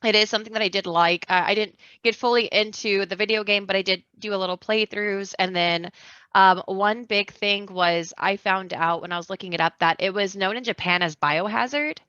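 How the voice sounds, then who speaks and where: A woman's voice: medium pitch, fairly quick, pushed and bright, clear, resonant tone, no echo, dull audio. A woman guesting on a podcast, talking casually in a slangy, rambling, informal way.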